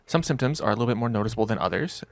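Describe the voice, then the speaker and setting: A man's voice: medium pitch, animated, rapid-fire, creaky. A man narrating a video game review in an informal, wry, joking way, with casual reactions. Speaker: gaming video creator.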